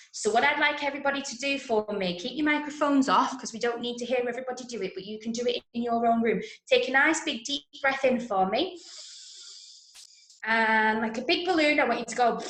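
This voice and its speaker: A woman with an English accent leading an online drama workshop for children, giving clear instructions and often checking in. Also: on the high side. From Manchester, England. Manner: clearly instructional, frequent check-ins with okay and right. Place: indoors, online video session, roomy sound. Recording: roomy echo on online call.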